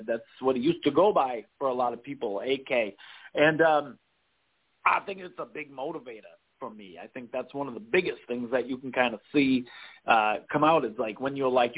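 A man playing a sweaty, self-important showbiz consultant who rambles through restarts and garbled sayings. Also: on the high side. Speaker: guest. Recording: tinny phone-like line.

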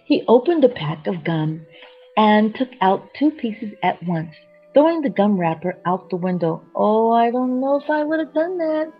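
A woman storyteller with a husky voice reads a children's bedtime story expressively, with chatty asides to young listeners. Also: a little high, big pitch swings, moderate pace, soft background music. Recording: dull, lo-fi sound.

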